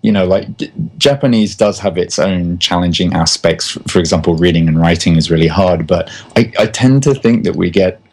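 A man's voice: low voice, a little fast. An Englishman in casual conversation, enthusiastic and often restarting his phrases. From England.

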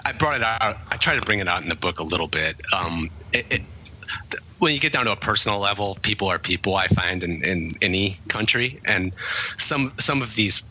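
A man's voice: fairly low pitch, animated, a little fast, pushed and bright, slight background noise, rough recording. A man being interviewed about his working life, talking in a reflective, rambling way with many fillers and restarts. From English-speaking country. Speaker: guest, author. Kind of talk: conversational interview.

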